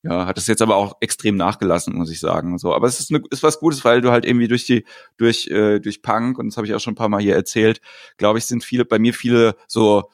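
A man hosting a casual podcast, telling stories in a relaxed way with dry humor. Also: fairly low pitch, fast, dry recording.

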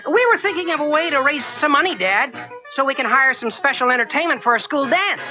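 Lively high-voiced cartoon teen girl. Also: fast talker. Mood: peppy. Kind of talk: 1960s cartoon voice acting. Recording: dull old TV cartoon audio, music behind.

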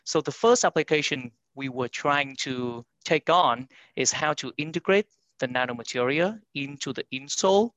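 A man's voice: medium pitch, moderate pace, fairly bright tone, dry recording. Man from Vietnam, English, frequent fillers, founder in a podcast interview. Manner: frequent fillers, non-native grammar. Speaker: company founder and CEO.

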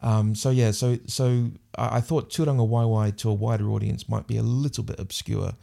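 Gravelly, mellow-voiced New Zealand man. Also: on the low side, steady tone, normal speed. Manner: thoughtful, repeats words and restarts often. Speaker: podcast guest. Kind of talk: casual interview chat.

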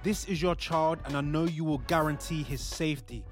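A man's voice: fairly high pitch, normal speed, lightly acted. A man playing a devoted, flustered young expectant father in a modern audio play. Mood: prayerful. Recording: music bed under the dialogue.

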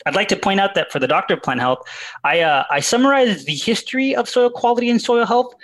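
A man answering interview questions as a researcher, measured and explanatory, with fillers and restarts. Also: high voice, animated, a bit bright. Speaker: guest researcher.

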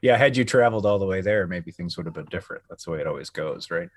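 A man's voice: sits low, lively intonation, fast talker, dry recording, clean studio sound. A man hosting a podcast in casual chat, talking in a hesitant, rambling way. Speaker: podcast host.

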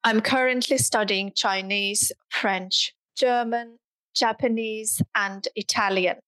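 A woman whose first language is Russian hosts a podcast about languages as a teacher. She speaks at an unhurried pace with clear, deliberate phrasing and friendly questions, and the recording is dry and close. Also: fairly high pitch, fairly even intonation, sharp tone, dry recording, rough recording. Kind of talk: podcast hosting and interview.

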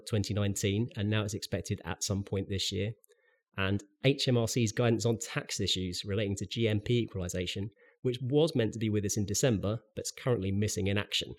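A man's voice: fairly low pitch, a little mellow. A man hosting a podcast, reading a scripted pensions news update with dry, wry asides, sounding a little bunged up from a cold. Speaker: podcast host.

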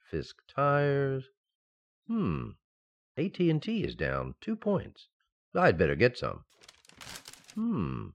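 Absent-minded radio sitcom husband, slow, big swoopy pitch, slightly dull recording. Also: male voice, gravelly, exaggerated voice. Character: distracted, mild-mannered husband and man about town. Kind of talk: old-time radio sitcom acting.

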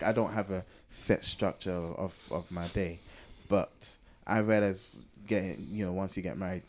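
A man's voice: deep voice, darkish tone. A man on a podcast, chatting casually and explaining himself with everyday examples.

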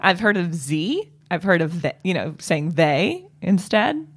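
A woman co-hosting a comedy podcast, chatting casually with a somewhat low voice and rambling through many fillers and restarts. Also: sing-song, measured pace, husky. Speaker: podcast co-host.

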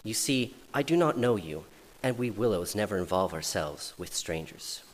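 A man's voice: lowish voice, expressive, moderate pace. A proud, aloof willow tree turns a stranger away in a prim, snobbish tone, voiced by a man reading a story. The voice rises lofty and firm, while a long hall echo lingers behind it. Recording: reverberant hall, faint noise.

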